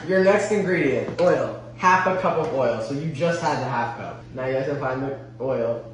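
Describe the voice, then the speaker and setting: A man's voice: mid-range voice, normal speed. Teasing young man in an echoey kitchen. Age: young adult. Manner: teasing, giving instructions. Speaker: vlogger. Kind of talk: casual chat. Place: home kitchen, indoors. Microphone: far camera. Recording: echoey kitchen from far off, background noise.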